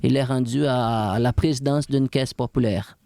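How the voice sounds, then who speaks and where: A man's voice: medium pitch, steady tone, normal speed, vocal fry, warm tone, dry recording, studio quality. A man from Brazil speaking with a Brazilian Portuguese accent, a podcast guest who hesitates often and restarts his words while searching for them. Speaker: guest, fitness coach. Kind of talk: podcast interview.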